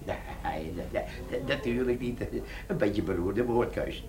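An actor playing a cheeky, scheming older army sergeant in a comic radio play, with a gravelly, colloquial delivery. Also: male voice, on the low side, animated, measured pace. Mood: cocky, eager. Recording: noisy old radio drama recording.

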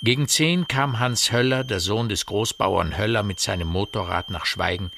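An actor playing a storyteller in a radio drama, narrating eerie village events in a deliberate, vivid way that addresses the listener. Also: male voice, fairly low pitch, gravelly, sharp tone, a little hiss or hum. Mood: matter-of-fact, pointed.

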